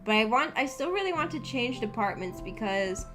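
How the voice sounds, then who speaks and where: A woman's voice: fairly high pitch, big pitch swings, moderate pace, sharp tone. A young woman chats informally to her stream audience. Her delivery is shy and hesitant, wandering as she searches for how to put things. Background music plays under her voice. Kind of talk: casual chat with livestream viewers.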